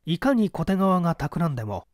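A man narrates a story in a fairly high voice. His intonation moves up and down a great deal, while the phrasing stays measured and deliberate. The sound is dry and close, as in a literary audiobook. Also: big pitch swings, darkish tone, no room sound. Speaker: audiobook reader, narrator of classic mystery fiction.

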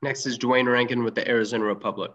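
A man moderating a press call, briskly announcing who asks next. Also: medium pitch, steady tone, a bit bright. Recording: dull lo-fi line from a distance.

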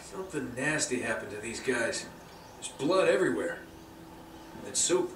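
A man's voice: medium pitch, animated, moderate pace, a little hiss or hum, natural voice. A man playing a wry young American treasure hunter in a video game, glib and muttering wisecracks. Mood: wry, curious. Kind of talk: naturalistic video game acting. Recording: echoey game audio from far off, loud music.

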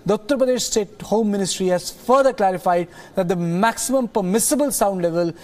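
A man in his thirties anchoring a studio news bulletin, reading the news in a measured way and then questioning a colleague with some hesitant fillers. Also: high voice, darkish tone.

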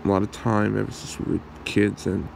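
A man plays a shy, lovestruck young guy in an ASMR roleplay. His voice is low and heavily creaky, and he talks in a halting, awkward way. A faint hum of noise sits behind him. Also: little pitch movement. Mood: earnest, tender. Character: shy young man, secretly a werewolf, lovestruck best friend.

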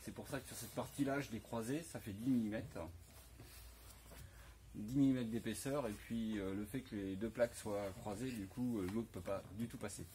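A fairly low-voiced man in his forties with a French accent walks through his camper van build, pausing often and restarting his sentences. He wears a lapel mic in a big indoor workshop, with faint ambient noise behind him. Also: steady tone, dark tone. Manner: hesitant, many fillers and restarts. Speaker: vlogger showing a camper van rebuild. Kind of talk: casual explanatory walkthrough. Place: large workshop garage, indoors.

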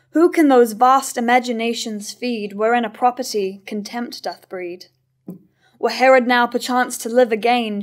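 A young woman playing a sage, disapproving chorus, reciting tragic verse in a measured way in a staged reading. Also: fairly high pitch, lightly acted. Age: young adult. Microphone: stand microphone at lectern.

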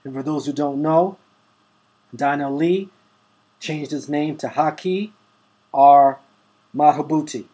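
A man hosting his own online channel, reading a book passage aloud to his webcam in a measured, deliberate way at home. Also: a little high, warm tone, breathy edge. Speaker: YouTube host reading from a book. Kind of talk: slow, deliberate read-aloud to camera. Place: indoors at home, holding a book to the camera.

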